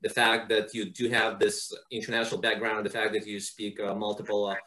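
A man's voice: on the low side, little pitch movement, brisk, sharp tone. A middle-aged man hosting an interview over a video call, asking long, wordy questions and praising his guest. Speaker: interview host. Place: home office indoors.

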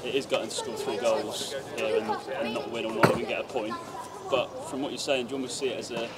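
Male sports interviewer, rather even pitch, off-mic, very noisy outdoor stadium, post-match questions. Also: quick pace, far from the mic, a loud crowd. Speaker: club interviewer.

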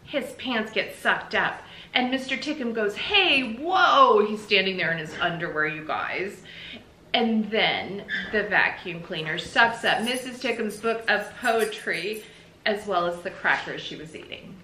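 Middle-aged woman, American accent, animated storybook reading, teacherly, echoing small room, faint noise. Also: medium pitch, measured pace. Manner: animated, explanatory, emphatic. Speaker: teacher reading aloud to schoolchildren. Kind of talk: expressive children's story read-aloud. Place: small indoor room with bookshelves. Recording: roomy echo, faint background noise.

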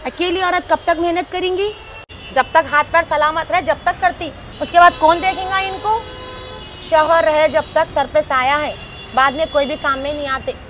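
A woman's voice: high voice, fairly even intonation, moderate pace, fairly bright tone. A middle-aged woman from Hyderabad with a Hyderabadi accent, chatting brashly and teasing people at a street stall for a comedy vlog, her throat a bit sore. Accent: Hyderabadi Hindi-Urdu. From Hyderabad, India. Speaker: comedy vlogger. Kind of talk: street prank vlog chat. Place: busy city roadside stall, outdoors. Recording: street noise behind.

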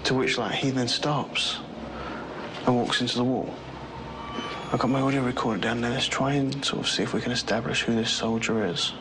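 A man's voice: medium pitch, steady tone, normal speed. A man explaining things on location as a paranormal investigator inside tunnels. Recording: noisy tunnel location sound.

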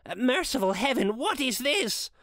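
A man's voice: very high-pitched, big pitch swings, moderate pace, pushed and bright, no echo, clean studio sound, pitched above the performer's own voice, exaggerated voice. A man voicing a frightened, repentant old miser in a storybook reading, tremulous, stammering and earnest. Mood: horrified pleading.

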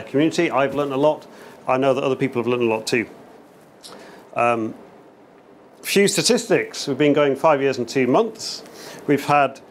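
A man's voice: medium pitch, slight background noise. A middle-aged man with a chatty, upbeat manner giving a short lightning talk to a meetup audience in a small venue. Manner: chatty, upbeat, many fillers and restarts. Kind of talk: casual conference talk. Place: small event venue indoors, in front of an audience.

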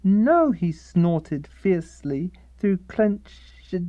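A woman's voice: lowish voice, sing-song, dark tone, no echo, dull audio, heavily acted. A woman playing a literal-minded reader in a radio comedy, stumbling as she sounds out words of romance fiction. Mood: halting reading. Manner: halting reading, sounding words out.

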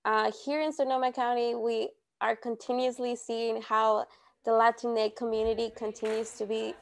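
Gravelly-edged serious woman organizer. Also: on the high side, level tone, moderate pace. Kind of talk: documentary interview.

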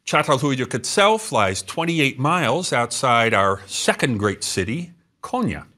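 A middle-aged man giving a scripted travel lecture to camera in a studio, telling stories with expressive gestures and dramatic pauses. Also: mid-range voice. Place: studio set.

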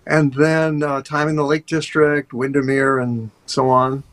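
An older man, a tour guide, chatting over a video call in a relaxed, rambling way with many fillers. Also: mid-range voice, a little slow. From USA. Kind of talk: casual interview talk. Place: home office, indoors.

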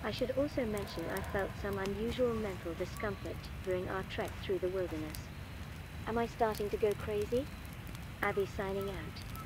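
Game role: earnest female expedition leader played by a woman, composed archaeologist dictating logs, medium pitch, clearly noisy ambient backdrop. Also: normal speed, close to an everyday voice. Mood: frustrated, uneasy. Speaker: game character recording audio logs. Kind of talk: scripted audio-log narration, game voice acting.

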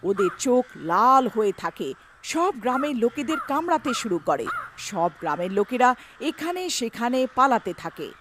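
A woman's voice: on the high side, sing-song, moderate pace, a bit bright, a little hiss or hum. A woman narrating a scripted cartoon story as its storyteller.

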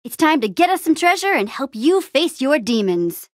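A plucky young adventure-game heroine with a fairly high, very lively voice. She talks in an eager, casual, youthful way with a wry edge, and the sound is close and dry. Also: normal speed, no room sound. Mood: encouraging, determined. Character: plucky, upbeat young female adventurer hero. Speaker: video game voice actor. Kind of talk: animated adventure game dialogue.

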